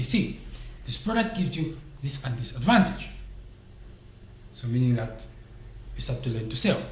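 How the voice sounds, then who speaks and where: A man's voice: medium pitch, darkish tone. A man from Zambia giving business advice as a vlogger, emphatic and fond of rhetorical questions, speaking into a desk microphone. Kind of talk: casual motivational talk to camera. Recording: echoey room, faint background noise.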